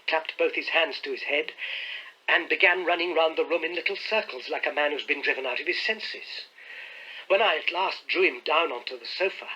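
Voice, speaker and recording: A man reading as a distressed young gentleman who recounts family troubles in an earnest, indignant, emphatic way. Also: a little high, slightly rough, poor audio.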